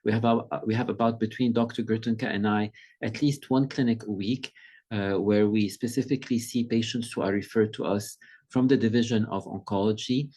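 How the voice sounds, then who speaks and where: A middle-aged man from Lebanon speaks over a video call, presenting as a pediatric endocrinologist. His voice sits a little low, and his delivery is conversational and measured. He often pauses to gather his thoughts mid-sentence. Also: fairly even intonation, a little mellow, husky. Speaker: pediatric endocrinologist giving a talk. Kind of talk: informal presentation.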